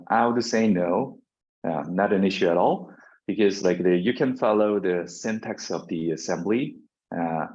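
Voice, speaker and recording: Low-voiced Korean-native man lecturing. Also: a little mellow. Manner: halting, many fillers and restarts. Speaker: university lecturer. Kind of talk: classroom lecture. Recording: dull lo-fi lecture recording.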